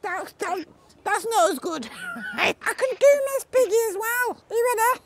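A man's voice: extremely high pitch, expressive, moderate pace, background noise. A man with a British accent putting on a cheeky, childish little boy voice for a comedy prank call. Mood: pleading, playful. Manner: cheeky, giggly, playful. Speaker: prank caller. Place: outdoors on stone steps.

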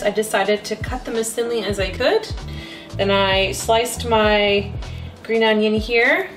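A woman in her thirties, chatting casually through a home cooking vlog, thinking aloud with fillers and self-deprecating asides. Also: medium pitch. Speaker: cooking vlogger. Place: home kitchen, indoors. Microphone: camera mic from across the kitchen. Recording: roomy kitchen, distant mic, music behind. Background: background music.

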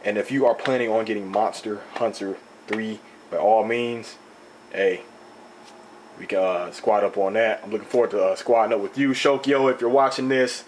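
A young man with a slightly low, slightly raspy voice tells a rambling, animated story to his viewers. He talks into a camera mic in an indoor room, with a faint noise behind him. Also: normal speed, poor audio. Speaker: video host. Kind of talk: casual unboxing talk. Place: indoor room, table top.

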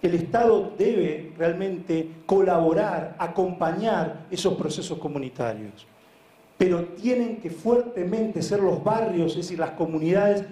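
A man's voice: fairly high pitch, mellow. A man with a Buenos Aires accent giving an informal conference talk, earnest and emphatic, often repeating words and checking in with listeners. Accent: Argentine, Buenos Aires. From Argentina. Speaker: speaker, head of a social sports foundation. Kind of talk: conference presentation, informal storytelling. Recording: echoey room, live talk.

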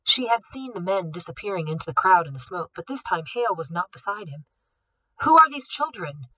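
A woman reading a novel aloud, steady and expressive. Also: lowish voice, quick pace, no room sound, low-quality recording. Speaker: audiobook reader.

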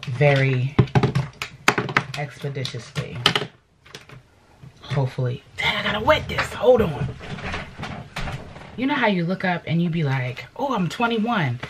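A middle-aged woman talks straight into a close camera in a home studio, chatty and fussing. Her pitch swings up and down freely. A steady background noise sits under her voice. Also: unhurried, long pauses. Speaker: vlogger and DJ. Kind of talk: casual vlog chat. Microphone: camera at close range.

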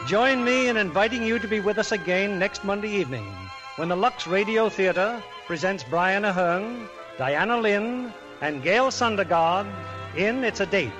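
A polished, genial man hosts an old-time radio show in a rather high voice. He reads his scripted lines smoothly, as on a 1940s broadcast, with faint music underneath. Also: animated, moderate pace. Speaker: radio show host and director.

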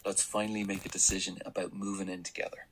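A man's voice: deep voice, level tone, fast, creaky, poor audio. An actor in a radio drama playing a sardonic male office analyst in his thirties, narrating and talking in a dry, wry way. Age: 30s.

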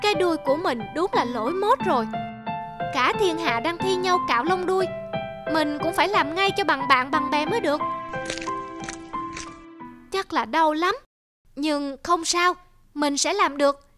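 A very high, childlike squirrel voice, acted by a woman for a children's cartoon. It is eager, vain and full of boastful exclamations. Music plays behind it. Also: normal speed, long pauses, natural voice. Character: vain, eager young squirrel following fashion.

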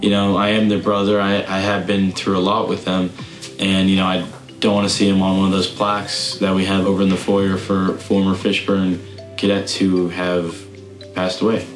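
A young male cadet talks in a measured, reflective way in a documentary interview. His voice sits a little low and rings in an echoey room, with music running underneath. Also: monotone. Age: young adult. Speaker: battalion commander cadet.

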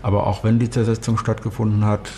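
A man's voice: lowish voice, flat delivery, fast talker, background noise. A man giving explanatory expert commentary for a documentary. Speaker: expert interviewee in voice-over translation.

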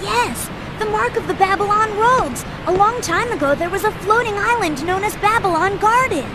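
A woman's voice: very high voice, animated, moderate pace, crowd noise, noisy background. A woman voicing an earnest, brainy little boy fox inventor in a video game, explaining things studiously. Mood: storytelling. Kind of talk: video game cutscene acting. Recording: game music behind voice.

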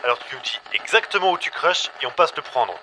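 A man's voice: medium pitch, lively intonation, quick pace, sharp tone. A man playing a brash, wary survivor talking over a radio, blunt and slangy, in an audio drama. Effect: radio filter. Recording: harsh noisy radio link.

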